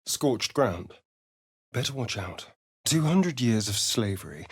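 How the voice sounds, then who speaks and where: A man's voice: a bit bright, dry recording, studio quality, full character voice. A man playing a sly, sardonic vampire spawn rogue in a video game, with an arch, drawling, theatrical delivery. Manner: arch, theatrical, drawling, with big swoops in pitch. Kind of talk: video game voice lines and narration.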